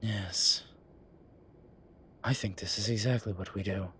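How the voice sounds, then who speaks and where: A man acts out a wry, tired demon professor with a fairly low voice. He speaks in measured, drawn-out phrases, as in an intimate roleplay audio drama. Ambient noise sits behind the voice. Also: steady tone, bright, forceful tone. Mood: dry, resolved. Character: weary, wry incubus demon professor, male. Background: ambient sound effects.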